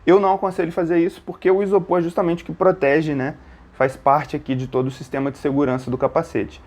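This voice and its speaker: A man's voice: fairly high pitch, flat delivery, quick pace, a little mellow. Brazilian man giving hands-on instructions. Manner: explanatory, often checking in with short tag questions. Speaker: motorcycle gear tutorial presenter. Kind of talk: informal step-by-step hands-on instruction.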